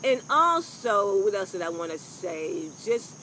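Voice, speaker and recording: An older woman with a loud voice, giving frank, rambling workplace advice to a phone camera outdoors, with salty asides. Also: medium pitch, big pitch swings, measured pace, slight background noise. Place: outdoors on a lawn by trees. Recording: faint insects and crickets outdoors.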